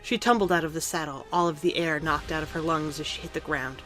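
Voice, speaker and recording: A woman's voice: deep voice, steady tone, quick pace, fairly bright tone, soft background music. A woman reading scripted story narration for an audio drama.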